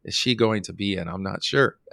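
A man's voice: lowish voice, moderate pace, gravelly, pushed and bright, dry recording, clean studio sound. A man hosting a podcast, chatting in a friendly way and sometimes stopping to hesitate with filler words. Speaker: podcast host. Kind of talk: casual interview.

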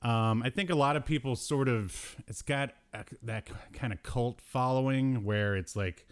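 A man's voice: mid-range voice, normal speed, smooth. A man hosting a podcast in a casual, jokey pop culture chat, often chuckling as he talks. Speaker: podcast host.

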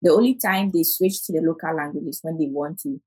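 Casting: woman, African accent, lowish husky voice, earnest and conversational. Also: brisk, a little mellow, dry recording. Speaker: panel discussion participant. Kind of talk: conversational personal reflection.

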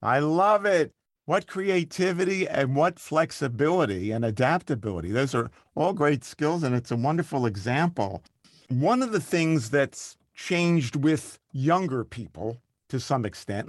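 A friendly man hosts an interview, building long, thoughtful questions and reacting with warm enthusiasm. His voice is recorded close and dry, with no room sound. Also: medium pitch, no echo. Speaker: podcast host. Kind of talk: interview hosting.

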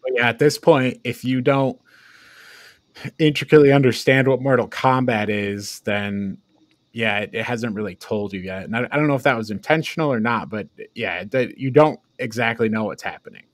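Male podcast co-host, medium pitch, dry close recording, conversational film talk. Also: normal speed, no echo. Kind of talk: casual film review discussion.

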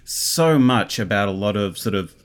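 A man's voice: fairly low pitch, lively intonation, moderate pace. A man speaking as an interview guest in casual conversation, explaining his ideas earnestly and with emphasis. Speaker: interview guest, audio drama creator.

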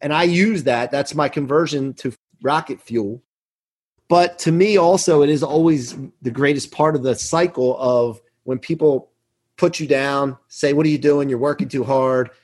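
A man's voice: medium pitch, moderate pace, a little mellow, husky. A man in his forties talking emphatically and earnestly as a self-assured podcast guest, telling motivational stories from business. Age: 40s. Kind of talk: motivational interview talk, anecdotes.

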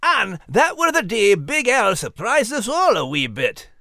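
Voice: medium pitch, very animated, a little slow, pushed and bright, no room sound, studio quality, exaggerated voice. An actor playing a plucky, cheeky little male terrier with a Scottish accent, co-hosting a children's story podcast. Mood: chatty, cheeky. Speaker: co-host.

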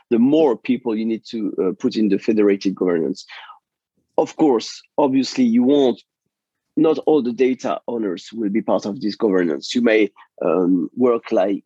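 A French-accented man speaking in a low, dark, husky voice that is fading as he loses it. He explains slowly and haltingly, stopping to restart his sentences. The recording is dry and dull, like lo-fi remote audio. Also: no echo. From France. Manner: halting, many fillers and restarts. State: losing his voice. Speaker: guest. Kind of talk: technical interview.

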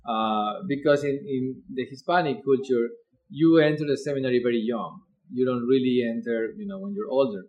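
A man's voice: mid-range voice, expressive, normal speed, lo-fi sound. A young man from Ecuador with an Ecuadorian Spanish accent, a seminarian earnestly telling his vocation story with fillers and repeated words.

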